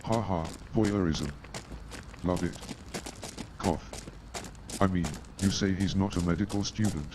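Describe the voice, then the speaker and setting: An actor playing a weary, wry, sardonic army doctor in a staged radio play, speaking formally. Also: male voice, deep voice, measured pace, long pauses, background noise.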